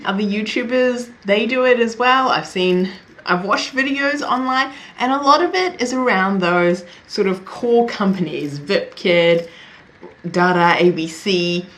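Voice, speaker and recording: A woman's voice: medium pitch, a bit bright. Chatty young Kiwi woman vlogging. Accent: New Zealand. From New Zealand. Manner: chatty, laughs often, self-deprecating asides. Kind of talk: casual talk to camera.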